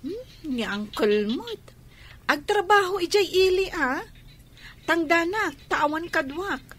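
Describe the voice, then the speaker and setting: A woman's voice: very high voice, expressive, slow pace, a bit bright, full character voice. A woman acting as a caring, assertive shop-owning cousin in an Ilocano radio drama. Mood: coaxing.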